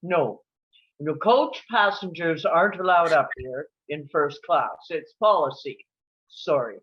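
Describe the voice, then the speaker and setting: Woman playing a measured, officious male flight attendant, dull audio. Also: low voice. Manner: firm, officious. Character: strict, exasperated male flight attendant named Steve. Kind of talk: screenplay table read, comedy acting.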